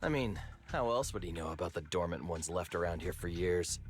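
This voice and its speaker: A man's voice: low voice, expressive, fairly quick, soft background music. An actor voicing a talkative, weary male gang member in a video game, speaking casually.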